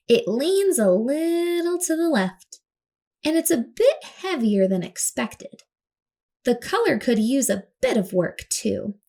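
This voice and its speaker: Animated woman reading a children's picture book. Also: a little high. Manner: dramatic, acts out words with her voice. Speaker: children's storyteller. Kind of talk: expressive picture-book read-aloud.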